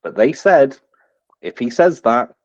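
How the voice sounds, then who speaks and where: A man's voice: mid-range voice, sing-song, a little slow, no echo, voice pushed up. A man voicing a cheeky, bumbling young squire in a tabletop game, hesitant and rambling, on a slightly dull close microphone. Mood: cocky, officious.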